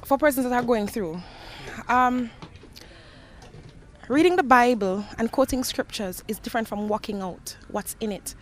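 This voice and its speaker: A woman's voice: on the high side, moderate pace, pauses often. A young woman with a Jamaican accent giving earnest, reflective answers in an interview, as a gospel singer. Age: young adult. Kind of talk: interview answers, personal testimony. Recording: noisy location with ambient sound.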